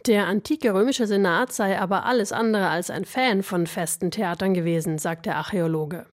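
A woman's voice: medium pitch, normal speed. A woman reporter narrating a scripted radio feature.

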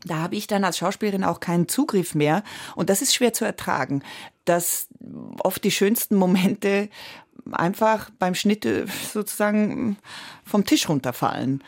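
A woman, an actress whose first language is Italian, answering interview questions in a reflective, searching way with frequent hums and soft laughs. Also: mid-range voice, animated, normal speed. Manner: thoughtful, searching, with many hums and small laughs.

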